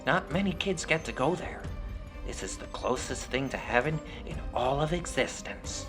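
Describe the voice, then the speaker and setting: A man's voice: medium pitch, animated, moderate pace, over music, pitched above the performer's own voice, natural voice. A man voicing a male felt puppet in a horror story, persuasive and falsely friendly with a rehearsed manner. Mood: coaxing.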